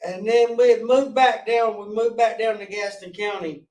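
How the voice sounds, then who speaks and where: A forty-year-old man from North Carolina with a loud voice, telling his life story as a podcast guest in an earnest, rambling way with restarts. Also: high-pitched, steady tone, normal speed, recorded from a distance. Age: 40s. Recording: roomy, off-mic sound.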